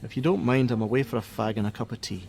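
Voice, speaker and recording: A man's voice: medium pitch, fast, gravelly, darkish tone, close to an everyday voice. A middle-aged man, playing an irritable, evasive father who works on a helpline in a radio play. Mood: irritated. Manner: curt, sarcastic. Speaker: helpline worker.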